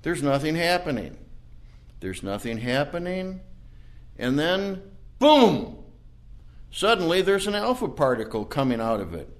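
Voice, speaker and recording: Man acting a slow, animated old scientist. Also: fairly high pitch. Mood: awed musing. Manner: deliberate, theatrical, repeating himself. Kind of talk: audio play acting.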